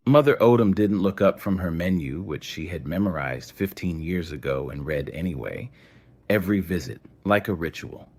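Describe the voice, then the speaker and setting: Deep-voiced male story narrator. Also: lively intonation, normal speed. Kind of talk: scripted audio story narration.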